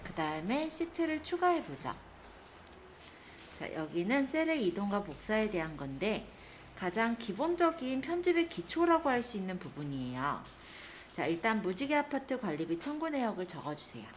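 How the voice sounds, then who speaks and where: A woman instructor giving a polite, step-by-step spreadsheet software tutorial, guiding listeners through each action. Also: medium pitch, sing-song, moderate pace, a little hiss or hum. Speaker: computer skills instructor.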